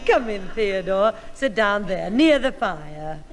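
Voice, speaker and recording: An actress playing a brisk, motherly, hospitable English housewife in a 1960s British radio comedy. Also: medium pitch, animated, faint music behind.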